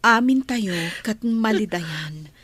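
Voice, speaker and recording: Husky, unhurried, sympathetic Ilocano wife played by an actress. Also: female voice, medium pitch, animated, a bit bright, no echo, heavily acted. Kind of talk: Ilocano radio drama acting.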